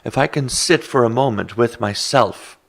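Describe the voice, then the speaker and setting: An Irish man who teaches meditation, explaining mindfulness in a calm, gently instructive conversational way. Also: medium pitch, moderate pace, gravelly. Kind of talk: reflective conversation.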